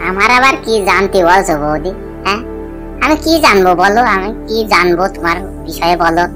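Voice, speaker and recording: A woman's voice: mid-range voice, brisk, music in the background, close to an everyday voice. A woman voicing a hesitant, placating young village man in a comedy cartoon. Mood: puzzled. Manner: questioning, placating.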